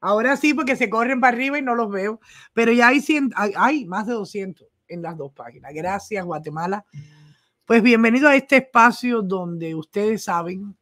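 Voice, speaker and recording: A woman's voice: medium pitch, normal speed, a bit bright. A middle-aged woman with a Cuban accent hosting a live news show from a small home studio, chatting casually and affectionately while greeting viewers by name. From Cuba. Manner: chatty, affectionate, reads viewer comments aloud. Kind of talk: casual live-stream chat. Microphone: desk microphone.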